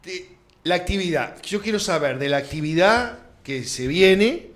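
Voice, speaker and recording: A man with a moderately high voice hosts a political interview. He talks conversationally, as the one who steers the discussion. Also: expressive, measured pace, fairly bright tone, echoey room. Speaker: host.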